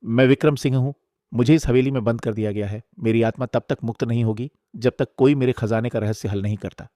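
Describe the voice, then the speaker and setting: A man plays the ghost of a long-dead king in a horror storybook. He speaks gravely and solemnly, in firm declarations. The sound is dry and close, without echo. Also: mid-range voice, fairly even intonation, quick pace, warm tone, natural voice. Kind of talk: scripted horror storybook reading.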